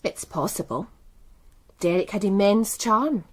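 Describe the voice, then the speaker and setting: A woman's voice: mid-range voice, measured pace, close to an everyday voice. A woman playing a proud, worldly businesswoman with an English accent in a British radio crime drama, composed, articulate and coolly self-assured. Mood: cool, knowing. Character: poised, proud, worldly businesswoman running a bookmaking empire.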